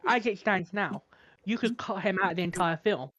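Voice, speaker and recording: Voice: medium pitch, normal speed, a bit bright. Co-host for a film podcast; presses their argument, trips over sentence starts, middle-range voice. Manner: persistent, argumentative, stumbles over openings. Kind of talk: casual film discussion.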